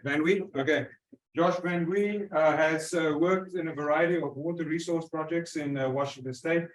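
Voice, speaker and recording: A man with a higher voice moderates a conference session, stumbling through an introduction with frequent uhs. He stands away from the mic, and the room echoes around him. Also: fairly even intonation, far from the mic. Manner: halting, many uh fillers. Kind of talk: introducing a speaker.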